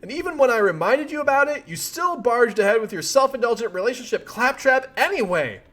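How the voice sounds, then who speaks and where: A man voicing an exasperated young woman who is furious with her friend, biting and emphatic, often shouting. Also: very high-pitched, lively intonation, a little fast, heavily acted. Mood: bitter scolding.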